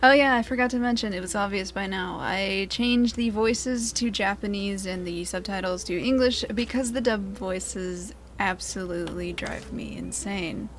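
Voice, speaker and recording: A woman's voice: mid-range voice, normal speed, hardly any pauses, slight background noise. A woman giving casual, chatty gameplay commentary as the host of a gaming video. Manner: chatty, informal.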